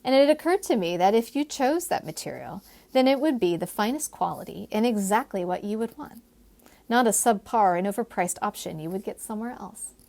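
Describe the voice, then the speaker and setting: Woman reading as a confident, teasing gentleman schemer. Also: medium pitch, lively intonation, fairly quick, creaky. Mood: eager, persuasive. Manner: persuasive, playful, formal phrasing.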